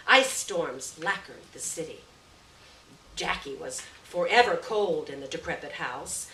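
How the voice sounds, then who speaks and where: A woman reading a literary short story aloud at a live event, with measured, deliberate phrasing and stressed words. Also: deep voice, a bit bright. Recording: distant roomy live recording, faint noise.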